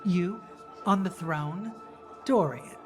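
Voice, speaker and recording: An actress playing a principled female magistrate and rebel leader in a video game, speaking in a firm, measured way. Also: on the low side. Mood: skeptical. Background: background music.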